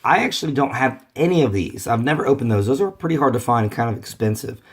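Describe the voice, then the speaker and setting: A hoarse man, rambling casually through a toy collector's unboxing video. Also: medium pitch, lively intonation, fast talker. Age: 30s. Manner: rambling, many fillers and restarts. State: hoarse from bronchitis.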